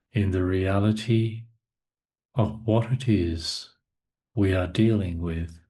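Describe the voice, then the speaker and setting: A male meditation teacher talks at an extremely unhurried pace. His voice sits fairly low, and he delivers the guidance in short, measured phrases, leaving long silences between them. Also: a little mellow. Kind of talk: guided meditation talk.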